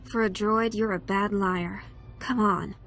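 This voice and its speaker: An actress playing a wary young operative in a video game. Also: female voice, medium pitch, fairly even intonation, measured pace, a bit bright, soft background music.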